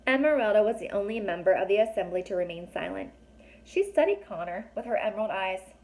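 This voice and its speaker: A woman's voice: mid-range voice, expressive, brisk, a little mellow, poor audio. A woman reading a children's novel aloud as narrator, carefully, with an occasional stumble. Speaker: storybook reader.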